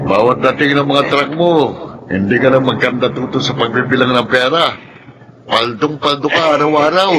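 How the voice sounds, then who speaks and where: A man playing a flattering friend in a radio drama, chuckling and wheedling. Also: medium pitch, moderate pace, background noise, close to an everyday voice. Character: flattering laughing buddy of the boss.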